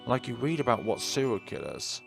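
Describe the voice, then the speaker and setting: A man's voice: medium pitch, big pitch swings, a little fast, gravelly, music in the background. A man voicing a stern, worried teenage girl in a visual novel, insistent and emphatic. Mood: insistent, grave.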